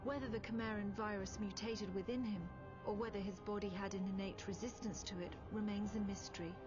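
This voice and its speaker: A woman playing a composed officer who recounts a war in measured, grave, matter-of-fact scripted narration. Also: medium pitch, fairly even intonation, highs cut off, close to an everyday voice. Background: clear background music.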